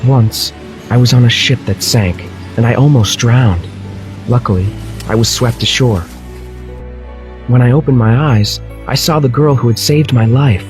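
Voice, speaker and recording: A man voicing a kind young prince, sincere, gentle and romantic, in a children's storybook tale. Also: medium pitch, normal speed, soft background music, close to an everyday voice. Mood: earnest recollection. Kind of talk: children's storybook character acting.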